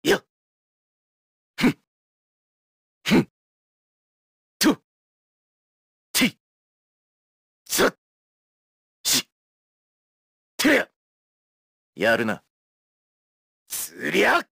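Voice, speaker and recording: An actor voicing a cool, forceful male fighter in short video game battle clips. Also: sits high, huge pitch swings, very slow, vocal fry, pauses often, slightly rough, no echo, studio quality, heavily acted. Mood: fierce, commanding.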